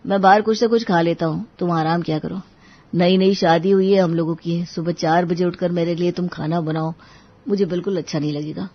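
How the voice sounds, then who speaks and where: A man playing a gentle newlywed young husband in a story drama, speaking reassuringly. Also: high voice, fairly even intonation, brisk, dull audio. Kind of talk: Hindi moral-story audio drama.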